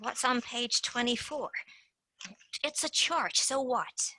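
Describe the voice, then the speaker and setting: A woman's voice: on the high side, slow, sharp tone, no echo, full character voice, poor audio. A woman playing a casual, earnest tooth fairy field agent in an amateur radio play. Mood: upset, pleading.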